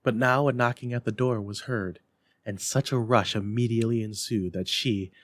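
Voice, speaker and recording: Guy doing dramatic story narration, mid pitch, expressive and emphatic, very little room sound. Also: moderate pace, no echo. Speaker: library staff story reader.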